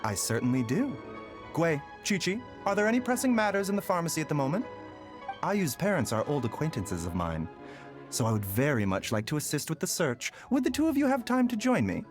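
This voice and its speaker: A gentle young doctor from an anime game, light-voiced and formal. The speech is measured and courteous, moving expressively across a wide range. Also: male voice, on the high side, huge pitch swings, over music.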